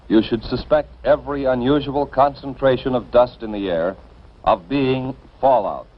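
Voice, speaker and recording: An American man reading scripted 1950s instructional film narration in an authoritative, deliberate manner, addressing the listener directly and emphatically. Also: mid-range voice. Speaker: narrator of civil defense educational film. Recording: dull band-limited vintage film soundtrack.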